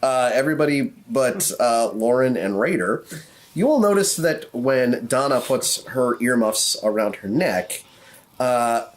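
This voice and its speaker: A man casually narrating a tabletop game as game master, in a halting, improvised way. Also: mid-range voice, expressive.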